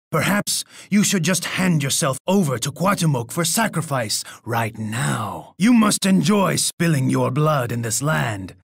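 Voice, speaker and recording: A gravelly, husky Aztec emperor from a strategy video game. He is boastful and bloodthirsty, speaking with theatrical, imperious scorn. His voice sits a bit high for a man and is recorded close and dry. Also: dry recording, heavily acted. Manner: imperious, taunting, refers to himself in third person.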